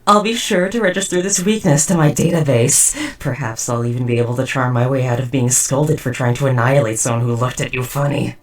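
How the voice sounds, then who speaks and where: A woman's voice: extremely low pitch, steady tone, a little fast, hardly any pauses, recorded from a distance. A woman playing a socially awkward, devoted but murderous robot house spouse in a roleplay audio drama, speaking in stiff, wordy, formal phrases. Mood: deadpan, protective. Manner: stiff, verbose, overly formal wording. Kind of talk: scripted roleplay audio drama addressed to listener.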